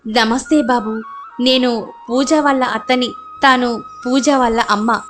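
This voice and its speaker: A woman voicing a helpful, conspiring neighbour who coaxes briskly in a story. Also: fairly high pitch, steady tone, faint music behind, lightly acted. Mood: polite.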